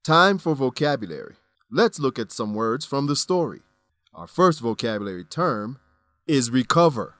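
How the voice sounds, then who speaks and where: A man presenting scripted educational narration as a lesson host, deliberate and carefully enunciated. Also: mid-range voice, big pitch swings, a bit bright, no room sound.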